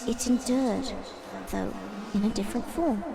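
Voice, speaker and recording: A woman's voice: on the high side, a little slow, vocal fry, music in the background. A woman playing a dying magical tree seed spirit in a fantasy audio drama, speaking in a formal, grave, earnest way. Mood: solemn.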